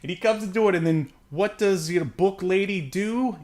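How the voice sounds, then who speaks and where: A man's voice: high voice, normal speed, darkish tone. A man co-hosting a podcast, recapping a film in casual, easygoing, joking chat. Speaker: podcast co-host.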